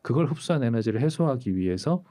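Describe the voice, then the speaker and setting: Animated, mellow-voiced man explaining. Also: mid-range voice, fast talker. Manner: animated storytelling, acts out quoted lines. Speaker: urologist host. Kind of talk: casual explanatory chat.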